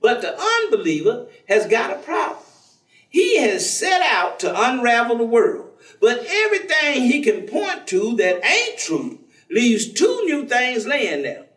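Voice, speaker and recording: A devout folksy fella in a play, swoopy delivery, teasing and preachy, echoey and far from the mic. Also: male voice, extremely high pitch, huge pitch swings, unhurried, fairly bright tone, heavily acted. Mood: preaching, earnest. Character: warm, devout, folksy man, witty self-styled outlaw believer. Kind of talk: staged play reading, conversational preaching. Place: theatre stage. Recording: echoey stage recording from far off.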